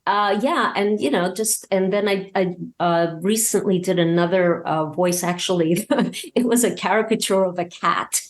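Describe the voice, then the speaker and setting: A woman's voice: mid-range voice, moderate pace. A woman talking casually as an interview guest, hesitant and wandering as she searches for words. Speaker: podcast guest and voice actor.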